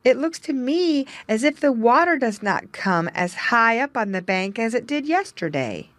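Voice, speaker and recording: A woman's voice: fairly high pitch, big pitch swings, normal speed, dry recording, pitched above the performer's own voice. A woman voicing a young male muskrat who talks earnestly in a slightly raised voice. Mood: puzzled.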